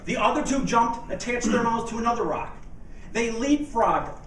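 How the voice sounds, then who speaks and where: A man delivering a humorous tall-tale speech to a room, in a deliberate, theatrical storytelling manner with dramatic pauses. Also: extremely high pitch, fairly bright tone, recorded from a distance. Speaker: speech contest speaker. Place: indoor meeting room before an audience. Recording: echoey room from afar, faint noise.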